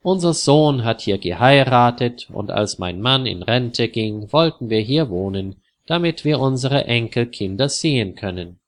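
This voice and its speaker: A man voicing a cheerful older woman, a grandmother, in a slow storybook for language learners. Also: mid-range voice, expressive, natural voice.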